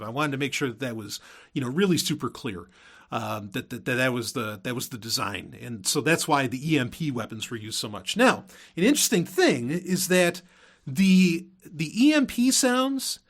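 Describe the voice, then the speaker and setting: A man hosting a podcast as an audio drama creator, talking casually about behind-the-scenes work in a halting, rambling way, repeating words for emphasis. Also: medium pitch, fairly bright tone.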